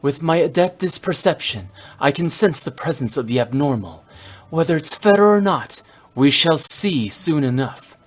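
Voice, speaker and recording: Stern brooding young warrior from a game, husky voice, grave and formal, bit of music behind. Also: male voice, fairly high pitch, animated, normal speed. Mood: commanding. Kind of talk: video game voice acting.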